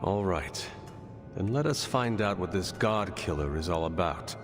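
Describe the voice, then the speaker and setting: A man's voice: deep voice, expressive, unhurried, in a large hall, over music. An actor playing an earnest, resolute young male warrior hero in a video game. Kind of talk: video game cutscene acting.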